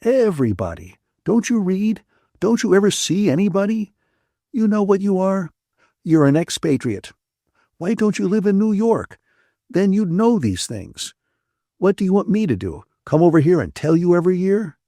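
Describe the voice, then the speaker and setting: A playful young man who can't stop needling his friend, his voice pitched up and lilting as he lectures in mock seriousness, put on by a male narrator. He banters in a warm, dry storybook reading, every line a gentle jab. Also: very animated, moderate pace, no echo. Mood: bantering. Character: teasing, wisecracking young man, playful and mocking. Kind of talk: audiobook character voice.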